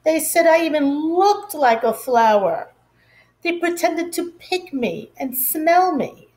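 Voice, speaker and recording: Woman acting a young storybook schoolgirl, high voice, sensitive, plaintive, slow and downcast. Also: lively intonation, darkish tone. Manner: plaintive, miserable complaining.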